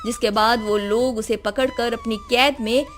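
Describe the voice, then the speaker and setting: A woman narrating a scripted animated moral story. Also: fairly high pitch, normal speed, a little hiss or hum. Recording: music behind the voice.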